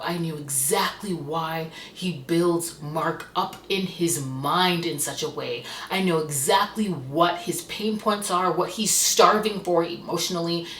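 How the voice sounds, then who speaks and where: Expressive woman book vlogger, lowish voice, gushy n emphatic, echoey room. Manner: expressive, gushing, emphatic storytelling. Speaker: book reviewer and host of a reading channel. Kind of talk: chatty monthly reading wrap-up to camera.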